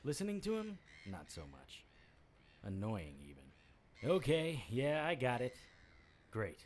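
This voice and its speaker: A man's voice: medium pitch, wildly swooping pitch, slow pace, a little hiss or hum. A man playing a wisecracking, defiant private investigator in an audio drama, with a cocky, sardonic delivery. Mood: wry narration. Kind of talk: audio drama acting with first-person noir narration.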